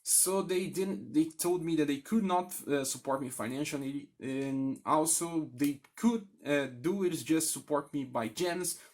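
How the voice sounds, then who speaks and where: A man from Brazil, a native Brazilian Portuguese speaker with a Brazilian accent, speaking candidly and haltingly as a gaming video creator addressing viewers. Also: on the high side, darkish tone. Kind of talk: candid, unscripted appeal to channel viewers.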